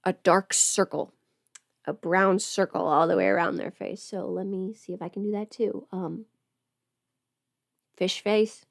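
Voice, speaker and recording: A woman's voice: medium pitch, measured pace, vocal fry, long pauses, dry recording. A woman casually chatting on a livestream while doing her makeup, playful and absent-minded, muttering to herself in a close-miked voice. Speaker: livestream host, voice performer.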